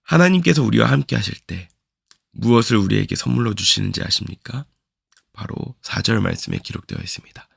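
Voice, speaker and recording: A man preaches a daily devotional with his voice worn hoarse by a cold. His low, deeply gravelly tone sits close to the mic in a dry space. He moves slowly and earnestly, often pausing on reflective questions. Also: a bit bright, no echo. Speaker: pastor.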